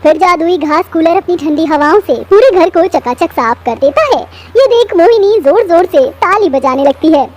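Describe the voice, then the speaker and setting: A woman's voice: very high voice, lively intonation, normal speed, hardly any pauses, darkish tone. A woman reading scripted narration for a children's story.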